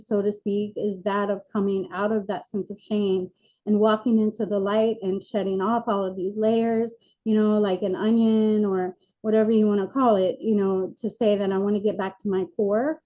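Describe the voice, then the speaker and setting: A woman in her forties talks earnestly and reflectively as an interview guest, pausing on fillers. Her delivery stays flat and even, and the sound is dull and lo-fi, as over a video call. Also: mid-range voice. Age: 40s. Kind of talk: candid personal interview.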